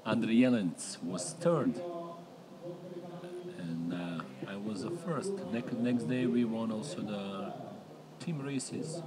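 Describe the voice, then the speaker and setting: A middle-aged man calls a river race in slow, unhurried English. His voice sits a little low, and he stops often on fillers. A crowd can be heard clearly behind him at the outdoor course. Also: low-quality recording. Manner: halting non-native English with many fillers. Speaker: race commentator. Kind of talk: live sports commentary.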